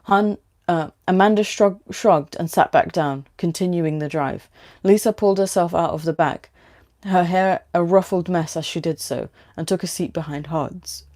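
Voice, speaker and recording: A woman's voice: lowish voice, normal speed, few pauses, darkish tone. A woman with a London British accent, hosting a livestream with story reading and casual chat.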